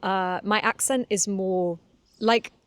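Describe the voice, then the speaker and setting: Casting: young woman English teacher, Southern English accent with a London tinge, unhurried and chatty, dry close sound. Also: mid-range voice, fairly even intonation, no echo. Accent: Southern English, slightly London. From Cornwall, England. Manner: chatty and candid, laughs often, many fillers. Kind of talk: relaxed solo podcast monologue for learners.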